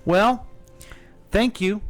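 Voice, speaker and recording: A man's voice: sits high, drawn-out words. Folksy guy hosting a radio history chat, talks to the folks, music faint behind. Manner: folksy, addresses listeners as folks. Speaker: radio host.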